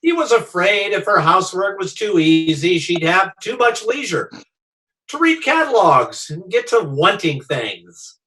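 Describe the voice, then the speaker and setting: A man playing a cheerful, gossipy pitchman who spins tall tales in a classic radio comedy. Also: big pitch swings, unhurried, pushed and bright, heavily acted, poor audio. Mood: gleeful storytelling.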